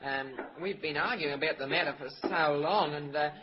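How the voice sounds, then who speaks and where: A man acting an affable country storekeeper in a vintage radio serial. His voice is high for a man, full of obliging sales talk, on a muffled recording with clear hiss. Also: moderate pace, close to an everyday voice. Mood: apologetic. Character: genial, busy male country store manager. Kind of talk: 1930s Australian radio comedy serial acting.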